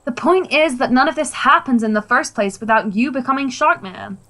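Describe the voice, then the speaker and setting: High, sharp, exasperated wife. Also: female voice, lively intonation, moderate pace, heavily acted. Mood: angry, reproachful. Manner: pointed, reproachful. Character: blunt, exasperated wife and mother of a superhero. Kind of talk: radio play acting.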